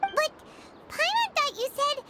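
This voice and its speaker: A woman voicing a tiny, excitable childlike fairy companion in an anime game, with a squeaky voice. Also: unhurried, very noisy, heavily acted. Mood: confused. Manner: excitable, refers to self by name. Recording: music under the voice.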